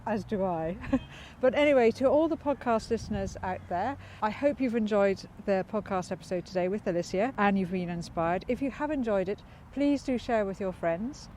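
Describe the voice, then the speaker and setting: A woman's voice: medium pitch, darkish tone. A woman hosting a podcast, asking friendly, chatty questions while walking outdoors in a windy city park. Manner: friendly, chatty questioning, stumbles over words. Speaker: podcast host. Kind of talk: casual walking interview. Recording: outdoor street noise and wind.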